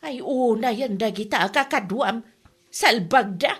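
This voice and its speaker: A woman's voice: on the high side, lively intonation, measured pace, fairly bright tone, slightly rough, exaggerated voice. A woman with an Ilocano accent acting in an anxious family exchange in a radio comedy drama, plaintive and exclamatory. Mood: upset.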